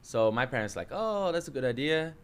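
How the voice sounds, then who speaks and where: A Dutch man in his early thirties, speaking English with a Dutch accent, relaxed and chatty as a coach telling his story in an interview. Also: medium pitch, brisk, clear, resonant tone. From Netherlands. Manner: relaxed, chatty, many fillers and self-restarts. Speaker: jiu-jitsu coach and interview guest.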